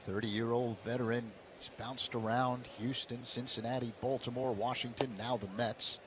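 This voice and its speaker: A man calls a baseball game for radio in a measured play-by-play voice that lifts when the action does. His pitch sits in an everyday middle range. Clear background noise runs under the broadcast. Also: level tone. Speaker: play-by-play announcer.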